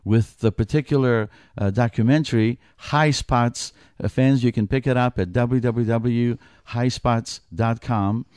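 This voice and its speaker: Man, middle-aged, from Greece, studio guest, earnest, restarts sentences, dark voice, dry studio sound. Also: mid-range voice, steady tone, measured pace, hardly any pauses, smooth, no room sound. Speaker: wrestling commissioner, studio guest. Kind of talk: radio interview. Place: radio studio.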